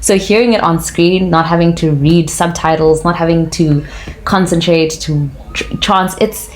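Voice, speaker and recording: A woman's voice: fairly low pitch, steady tone, unhurried. A young woman with a South African Xhosa accent chatting casually for a personal vlog, slipping between English and isiXhosa. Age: young adult. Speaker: vlogger.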